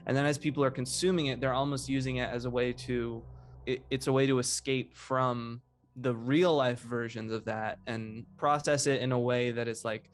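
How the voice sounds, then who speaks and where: A man's voice: medium pitch, fairly even intonation, normal speed. A man giving casual, reflective interview commentary, often rephrasing as he thinks. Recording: music playing behind the voice. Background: background music.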